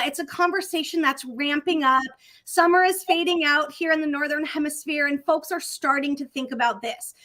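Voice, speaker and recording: Effusive woman host, high bright voice, video call from home office. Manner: bubbly, effusive, enthusiastic. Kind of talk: upbeat webinar hosting.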